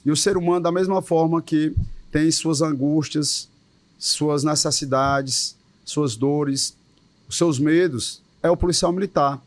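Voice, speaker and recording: A man with a Cearense accent, a police association leader, argues earnestly in an interview. His voice sits somewhat high, and the sound is dry and close. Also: steady tone, unhurried, dry recording. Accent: Cearense Portuguese. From Ceará, Brazil. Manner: earnest, emphatic, with repeated words. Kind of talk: interview answers.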